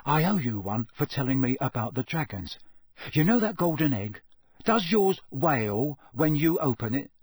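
A man's voice: medium pitch, big pitch swings, moderate pace, a little mellow, husky, no echo, studio quality. A man voicing a friendly, slightly awkward older schoolboy in a storybook, speaking in a casual, hesitant, confiding way.

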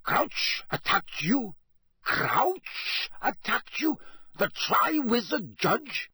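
A man's voice: very high voice, sing-song, drawn-out words, pushed and bright, breathy edge, no room sound, studio quality, pitched above the performer's own voice, exaggerated voice. A man voicing a livid, accusing wizard headmaster in an audiobook, indignant and declamatory. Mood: incredulous. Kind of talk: dramatised audiobook reading.

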